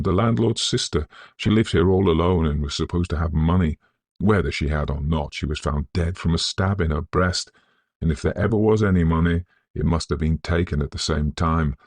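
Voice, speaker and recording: A man's voice: low-pitched, darkish tone, dry recording. A man voicing a loyal, anxious Victorian manservant, earnest and deferential, in a ghost story audiobook. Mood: grave recounting. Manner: earnest, respectful, measured period phrasing.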